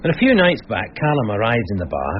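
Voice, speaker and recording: Wry police sergeant, very animated delivery. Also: male voice, on the low side, fast talker. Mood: wry gossip. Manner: storytelling with wry understatement. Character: loyal, gossipy, matter-of-fact male police sergeant. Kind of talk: radio crime drama acting. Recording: muffled radio drama, faint noise.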